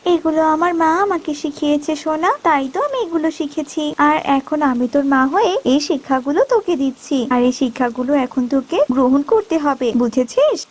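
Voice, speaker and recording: A woman's voice: high voice, fairly quick, lightly acted. A woman voicing a firm but kind-hearted cartoon mother bird with a lecturing manner. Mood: fond, lecturing.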